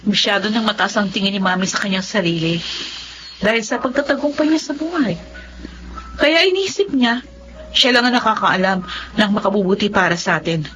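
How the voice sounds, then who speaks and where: A woman's voice: mid-range voice, a little fast, a bit bright, close to an everyday voice. Woman playing an earnest older sister, muffled sound. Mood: sad, explaining. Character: gentle, wistful older sister, a young doctor. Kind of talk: radio drama acting. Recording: muffled, faint background noise.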